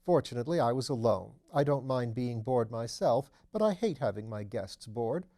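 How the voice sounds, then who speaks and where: Older aristocratic mother for audiobook, played by a man: chatty, refined, kindly, soft mellow tone. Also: medium pitch, lively intonation, moderate pace, lightly acted. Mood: gossipy, genteel. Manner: gossipy, genteel, fussing kindly. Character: genteel dowager duchess, older mother, chatty. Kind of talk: audiobook character dialogue.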